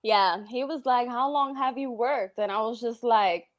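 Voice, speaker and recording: Slow-talking New woman, chatty. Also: on the high side, highs cut off. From New York City. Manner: chatty, slangy, laughs a lot. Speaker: wrestling comedian and podcast guest.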